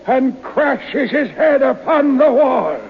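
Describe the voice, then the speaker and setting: An actor playing an old man who tells a grim ghostly legend slowly and suspensefully in a radio horror drama, on an old radio recording. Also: extremely high pitch, expressive, vocal fry, phone-quality sound, over loud music, exaggerated voice. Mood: grave, suspenseful. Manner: slow, suspenseful storytelling.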